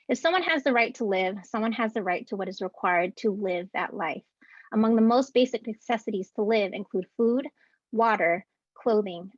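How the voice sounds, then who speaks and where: A woman in her thirties, an educator, giving a prepared ceremony speech from home over a video call in an earnest, deliberate way, reading out rhythmic lists. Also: mid-range voice, no room sound. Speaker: keynote speaker and educator. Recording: dull, dry video-call audio.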